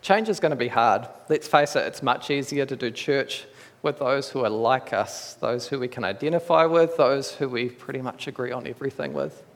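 A man with a New Zealand accent preaching a sermon in an earnest, measured, conversational way, with small restarts. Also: mid-range voice. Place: church hall. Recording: echoey church hall.

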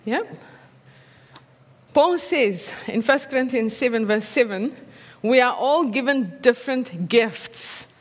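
A woman's voice: on the high side, expressive, slow pace, long reverb tail. A woman with an Afrikaans accent preaching a sermon in a conversational way, often checking in with her listeners through tag questions.

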